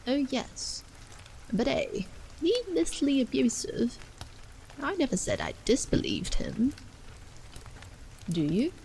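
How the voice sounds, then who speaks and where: A woman acts a plump, self-important storybook gentleman in middle age. He sounds stiff and defensive, with a creaky edge and swinging pitch. Light rain patters behind. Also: sing-song, slow pace, pauses often, a little hiss or hum, heavily acted, poor audio. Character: plump, pompous, defensive forty-three-year-old man.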